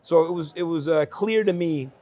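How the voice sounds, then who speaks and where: A man in his forties from El Salvador, a guest speaking earnestly at an investment conference about his life, with frequent fillers and quick laughs. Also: a little high, mellow. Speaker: guest entrepreneur. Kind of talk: candid conversational storytelling.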